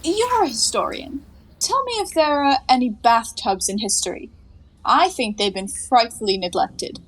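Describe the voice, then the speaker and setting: An actress playing a flippant, quick-witted young woman in a 1920s comedy audio play, breezy and playfully sarcastic. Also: on the high side, animated, a little hiss or hum, lightly acted, rough recording. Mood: teasing.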